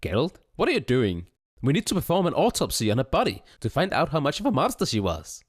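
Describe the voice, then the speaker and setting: A man voicing a smug, cold-hearted, excitable male wizard in a dramatic story, exclamatory and self-satisfied, with music behind him. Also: medium pitch, fast talker, pitched above the performer's own voice. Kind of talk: dramatic storybook character acting.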